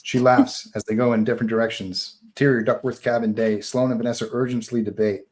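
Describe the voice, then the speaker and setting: A man's voice: medium pitch, level tone, fast talker, a little mellow. A man narrating stage directions at a live table read of a horror screenplay, in scripted narration style.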